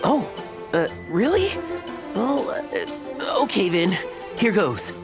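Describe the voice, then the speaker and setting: An excitable young male game character with a high voice that swoops wildly. He is pompous and lovestruck, stammering and gushing over music. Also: takes it slowly, gravelly, exaggerated voice. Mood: gushing, proud. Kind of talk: video game character acting. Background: background music.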